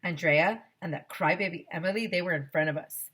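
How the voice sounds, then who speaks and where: An American woman, a teacher, reading a children's story aloud in an expressive way. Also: on the low side, fairly quick, breathy edge. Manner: expressive, acting out dialogue.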